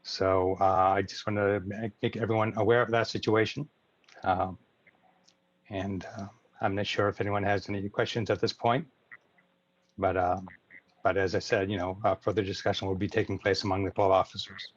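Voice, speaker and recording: A low-voiced American man chairs an informal online club meeting. He delivers announcements haltingly, feeling his way through each phrase. The sound is dry and slightly dull, as on a video call. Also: flat delivery, no room sound. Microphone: video call microphone. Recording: muffled video call audio.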